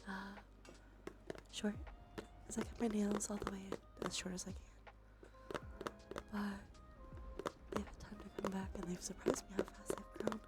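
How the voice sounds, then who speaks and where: A woman softly whispering casual ASMR chat to livestream viewers, relaxed and unhurried, with a slightly stuffy nose. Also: medium pitch. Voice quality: soft, breathy whisper. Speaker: livestream host. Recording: background music under close whispering. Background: music.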